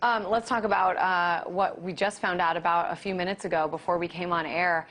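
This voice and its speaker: A woman's voice: on the low side, fairly even intonation, a little fast, a bit bright. A woman with an American accent, working as a news anchor, presenting reports and putting questions to a guest in broadcast style. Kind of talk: broadcast news delivery and live interviewing.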